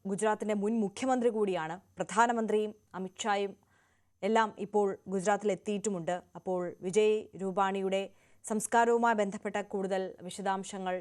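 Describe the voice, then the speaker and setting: Mid-pitched woman anchoring live news. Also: a little mellow.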